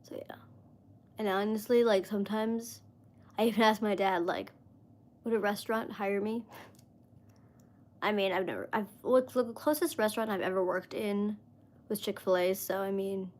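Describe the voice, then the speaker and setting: A woman's voice: mid-range voice, steady tone, normal speed, long pauses, darkish tone. A woman in her mid-thirties chatting casually on a live stream, rambling with lots of fillers as she talks to viewers. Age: 30s.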